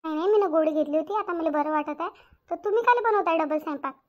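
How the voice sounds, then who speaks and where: A woman's voice: very high-pitched, quick pace, highs cut off. A woman voicing an outspoken, grumbling young daughter-in-law in a Marathi cartoon, with a rural Varhadi accent. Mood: questioning. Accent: rural Maharashtra, Varhadi Marathi. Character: indignant young village daughter-in-law, outspoken, complaining, caring. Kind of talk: domestic cartoon story dialogue.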